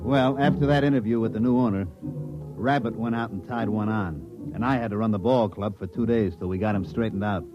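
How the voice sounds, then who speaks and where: A man's voice: lowish voice, a little fast, highs cut off, over music, close to an everyday voice. A man acting a folksy, wry baseball coach in a 1950s radio drama, with dry, colloquial delivery.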